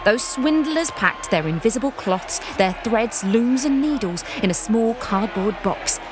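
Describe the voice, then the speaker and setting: Woman playing a wry storyteller, fairly high voice. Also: lively intonation, measured pace, music in the background. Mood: storytelling. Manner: wry, informal. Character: cheeky, reluctant female storyteller of an unfairytale. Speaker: narrator. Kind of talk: satirical storytelling, conversational asides.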